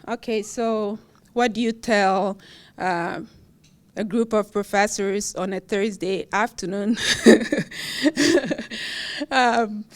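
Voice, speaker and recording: Professor, woman, middle-aged, Ghanaian accent; podium acceptance speech, cheerful, fillers and laughs. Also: mid-range voice. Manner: warm, laughs often, with fillers. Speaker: award-winning professor giving acceptance speech. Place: university event hall.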